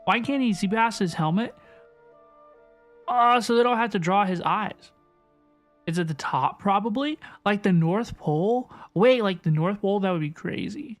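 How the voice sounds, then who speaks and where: A mid-pitched game streamer gives casual live commentary, rambling along and reacting out loud in slang. Game music plays behind the voice. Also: normal speed. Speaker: livestreamer playing a video game, VTuber. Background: video game music and dialogue.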